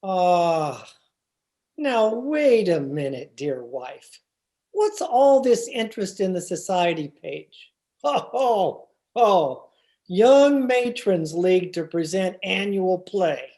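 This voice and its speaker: A teasing, stubborn sitcom husband from old-time radio , played by a woman. The voice is soft-edged and fairly low for a woman, with very lively swings of pitch. The delivery is theatrical, wry and exasperated. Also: slow, exaggerated voice. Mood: skeptical, teasing. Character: George, teasing, stubborn husband of a 1940s housewife. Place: remote video-call production.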